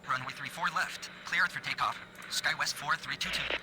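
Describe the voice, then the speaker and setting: A man voicing an airline pilot in a simulator game, giving brisk, procedural readbacks in clipped aviation radio style. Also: a little high, fairly even intonation, background noise, lightly acted. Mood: brisk, neutral. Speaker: pilot reading back clearances.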